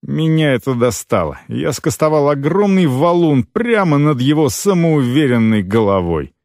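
A man reading a fantasy novel in the first person as an audiobook narrator, with an expressive, dramatic storytelling delivery. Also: on the high side, normal speed, dry recording, clean studio sound.

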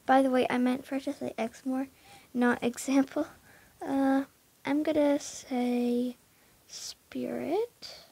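A woman's voice: high voice, level tone, slow pace, gravelly, dark tone, husky, dry recording. A young woman casually talking through her horse barn in a game, hesitant, full of fillers and often dropping into a whisper.

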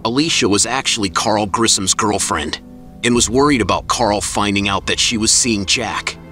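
A man narrating an audio drama in a measured, suspenseful scripted style. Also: lowish voice. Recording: loud music and effects behind.

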